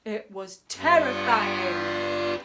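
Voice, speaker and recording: A woman's voice: medium pitch, sing-song, drawn-out words, loud music behind the voice, voice pushed down. A woman doing a timid storybook lion. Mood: fearful. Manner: fearful, storybook voice. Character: timid male lion, king of beasts.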